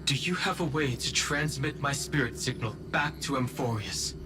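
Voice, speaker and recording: An actor playing a serious, loyal young man in a video game, speaking in an earnest, measured way. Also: mid-range voice, lightly acted. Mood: grave, worried. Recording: loud music under the voice.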